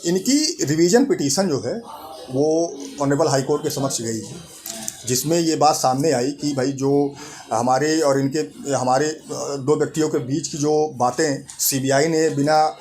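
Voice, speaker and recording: A man explains in a deliberate way, as a channel host, often repeating and rephrasing his points. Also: fairly high pitch, a little mellow, slight background noise. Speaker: host of a legal information channel. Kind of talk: explanatory legal talk to camera.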